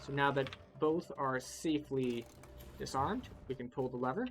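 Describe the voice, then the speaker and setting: A man's voice: mid-range voice, moderate pace, background noise. A man giving step-by-step playthrough commentary as a video game guide, explaining things in an instructive way.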